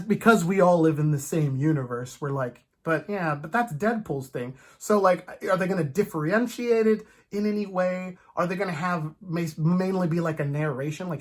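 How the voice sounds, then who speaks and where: A podcast host chatting casually as a fan, in an animated, rambling, stop-start way with playful asides. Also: medium pitch. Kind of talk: casual comic book fan chat.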